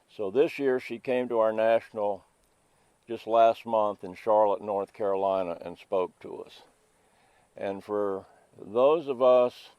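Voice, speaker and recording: Older male veteran storyteller in his 70s, slow and measured, lowish voice, dry lo-fi recording. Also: dry recording. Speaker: Vietnam helicopter pilot veteran. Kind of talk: recounting a story in an interview.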